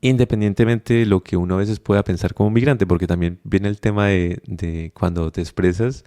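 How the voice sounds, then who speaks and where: A man's voice: lowish voice, fairly even intonation, fairly quick, mellow. A young adult man with a Colombian accent who is a guest on a podcast, speaking reflectively and thinking aloud with many fillers and restarts. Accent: Colombian Spanish. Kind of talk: conversational interview.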